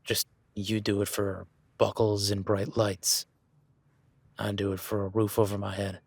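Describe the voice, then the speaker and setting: An easygoing, seasoned cowboy rancher, voiced by a man in an audio roleplay. His voice sits low with a husky edge, and he talks in a flat, folksy, plainspoken way straight to the listener. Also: measured pace, dry recording.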